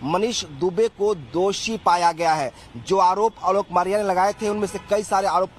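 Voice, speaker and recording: A man's voice: high voice, moderate pace. A man delivering a television field news report as a reporter.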